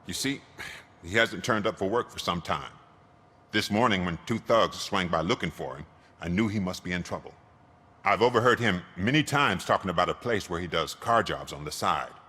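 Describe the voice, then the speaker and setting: Raspy, grumbling garage owner. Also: male voice, deep voice, expressive, moderate pace, pushed and bright, full character voice. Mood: resigned, confiding. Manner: wry, defensive. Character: grumbling, shifty male car repair shop owner. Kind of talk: video game cutscene acting. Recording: long hall-like reverb.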